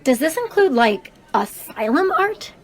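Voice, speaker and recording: An earnest young woman with a distinctly high voice. She is wary and questioning, speaking in casual audio drama banter. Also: sing-song, measured pace, heavily acted. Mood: curious, questioning. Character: earnest, easily unsettled, hesitant young woman.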